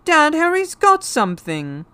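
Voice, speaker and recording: Audiobook brat: spoiled young boy, very high, sharp, whiny, demanding. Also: wildly swooping pitch, a little slow, no echo, full character voice. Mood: tattling. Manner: loud, demanding. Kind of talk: audiobook character voice.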